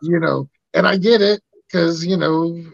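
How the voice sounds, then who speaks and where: A man in his thirties with a raspy voice, hosting a podcast and telling stories in casual chat, acting out quotes. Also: on the high side, unhurried, dry recording. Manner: animated, acts out quotes in funny voices. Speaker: podcast host. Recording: dry muffled lo-fi sound.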